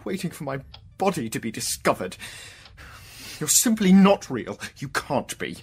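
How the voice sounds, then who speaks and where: An actor playing an anguished, disbelieving mathematician in a radio drama, bitter and agitated, moving between outbursts and faltering murmurs. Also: male voice, a little high, very animated, measured pace, slightly rough, exaggerated voice. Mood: frantic denial.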